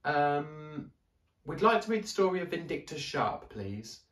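A man's voice: a little high, sing-song, a little slow, fairly bright tone, far from the mic, pitched above the performer's own voice. A man voicing a cautious, nervous young boy in a raised, hesitant voice, recorded in a roomy space away from the mic.

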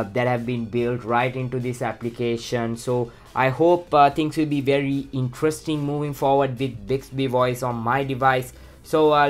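A man's voice: mid-range voice, clean tone. A man from Sri Lanka with a Sri Lankan accent, casually walking through a phone setup with lots of "uh" fillers. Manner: relaxed, many "uh" fillers and "let's go ahead". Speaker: tech channel host. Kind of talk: casual how-to walkthrough.

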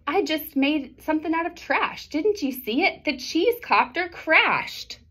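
Woman as an earnest, high-voiced little girl inventor. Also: expressive, unhurried, fairly bright tone. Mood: embarrassed, upset. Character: earnest, easily discouraged young girl inventor.

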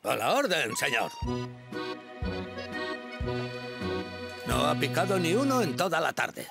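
Loud music runs behind an older fire chief in a cartoon. He is pompous and dutiful, with a European Spanish accent. The pitch is fairly high and swings wildly, with gravel in it. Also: big pitch swings, a little fast. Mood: chatty. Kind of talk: animated cartoon dub acting.

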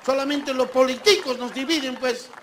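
A Peruvian man performs live stand-up in a strikingly high voice. He tells stories in an animated, theatrical way and banters with the people in front of him. An audience can be heard behind him, along with some faint noise. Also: moderate pace. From Peru. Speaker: stand-up comedian. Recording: live show with crowd and background noise. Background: audience ambience.

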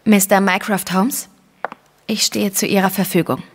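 A proud German lady spy played by a woman with a medium-pitched voice. She is cool, poised and sardonic, speaking formally in audio drama style. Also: fairly even intonation, moderate pace, slight background noise, natural voice.

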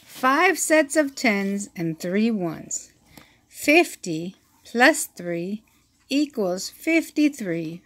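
Slow, patient woman reading to kids. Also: medium pitch, very animated. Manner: patient, counting aloud and asking the listener questions. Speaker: read-aloud teacher. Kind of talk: slow read-aloud of a children's math book.

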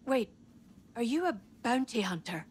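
An actress playing a guarded, practical fugitive engineer in a video game, speaking tersely and directly. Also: female voice, fairly high pitch, sing-song, measured pace, darkish tone, a little hiss or hum. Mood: guarded relief. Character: woman rebel fugitive, self-reliant engineer, guarded and practical.

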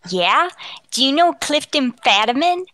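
A woman plays a brainy young boy in an old-time radio comedy. The voice is high and bright, with polite, very proper diction and lively swings in pitch. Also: measured pace, exaggerated voice. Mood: eager, curious. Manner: proper, articulate, bookish diction. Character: precocious, polite, bookish eleven-year-old boy.

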